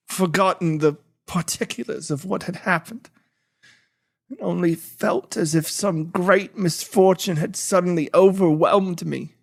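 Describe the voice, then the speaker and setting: A man playing an anguished, guilt-ridden imprisoned gentleman, narrating a literary audiobook in a theatrical, brooding manner. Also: sits high. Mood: grim recollection, then horrified.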